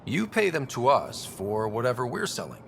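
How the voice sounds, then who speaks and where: An actor playing an earnest, easygoing young human explorer in a video game, with a polite, wry, conversational manner. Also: male voice, mid-range voice, wildly swooping pitch, moderate pace, vocal fry, a bit bright, a little hiss or hum, exaggerated voice. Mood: calm, wry. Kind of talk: video game dialogue acting.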